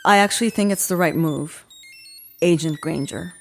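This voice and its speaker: A woman's voice: sits low, moderate pace, vocal fry, faint music behind. A woman playing a blunt, exasperated member of an agent team in a comedy audio drama.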